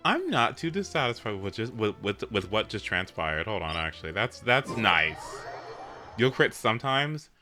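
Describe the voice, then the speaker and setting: Wildly animated bright-voiced man. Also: normal speed, soft background music. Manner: animated, leaps into squeaky high exclamations. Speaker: live streamer playing a video game. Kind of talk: casual gaming stream chat.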